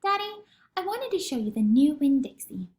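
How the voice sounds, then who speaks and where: Storybook girl of ten, voiced by a woman, hopeful and earnest, high lively voice, roomy sound. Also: normal speed. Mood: pleading. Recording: echoey room.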